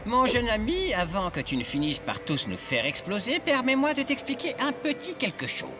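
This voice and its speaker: An eccentric, theatrical male mentor with a high voice that swoops up and down. He lectures and teases like a cartoon sage, over loud music. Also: wildly swooping pitch, moderate pace, heavily acted. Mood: admonishing. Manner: teasing, dramatic, lecturing. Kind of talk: French cartoon dub acting.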